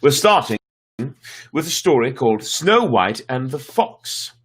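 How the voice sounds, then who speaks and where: A man's voice: mid-range voice, very animated, a little slow, husky. A man hosting a livestreamed story show, chatting casually to viewers in a playful, theatrical, rambling way.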